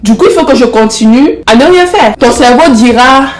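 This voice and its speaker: A woman's voice: a little high, lively intonation, moderate pace, a little hiss or hum. Roomy-sounding woman with a stuffy flu voice. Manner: affectionate, coaxing, repeats herself for emphasis. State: has the flu, stuffy nose. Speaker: motivational vlogger. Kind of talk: casual motivational talk to camera. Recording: roomy echo.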